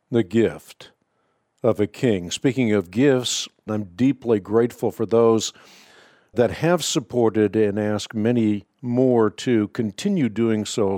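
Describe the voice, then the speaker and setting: A man hosting a radio call-in show, native Dutch speaker, teaching in a measured, emphatic, preacherly way. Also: fairly low pitch, mellow, dry recording, studio quality. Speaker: radio host answering callers.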